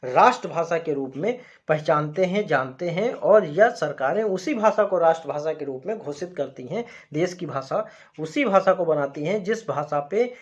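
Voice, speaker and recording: A man from India teaching a lesson, explaining slowly and repeating key points in an emphatic teacher's way. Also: on the high side, animated. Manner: repetitive, emphatic teacher phrasing. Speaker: educator.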